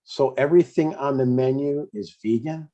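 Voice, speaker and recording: A man's voice: medium pitch, normal speed, warm tone, lo-fi sound, lightly acted. A man in his sixties playing an earnest, eager but stubborn dad in a conversational comedy table read.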